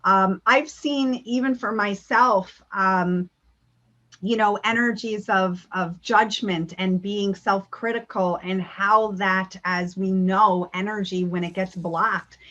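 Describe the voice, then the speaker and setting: A woman's voice: medium pitch, steady tone, slow pace, pushed and bright, lo-fi sound. A woman giving an earnest spiritual talk over a video call, with many ums and restarts. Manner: earnest, many fillers, speaks of spiritual energy. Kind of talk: online talk and Q&A.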